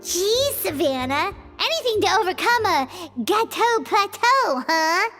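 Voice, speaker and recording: A woman voicing a tiny, excitable childlike female fairy companion in a video game, chatty, exclamatory and easily baffled. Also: a little slow, soft background music, exaggerated voice. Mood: puzzled. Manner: exclamatory, squeaky cartoon delivery, refers to self in third person.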